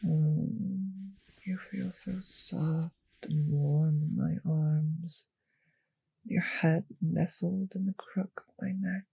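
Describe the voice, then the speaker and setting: Woman acting a breathy, husky vampire mistress. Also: lowish voice, steady tone, mellow, dry recording, full character voice, poor audio. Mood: possessive, doting. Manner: slow savouring, patronizing, breathy murmurs. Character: possessive, condescending vampire mistress, coolly cruel. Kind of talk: roleplay audio drama, intimate speech to listener.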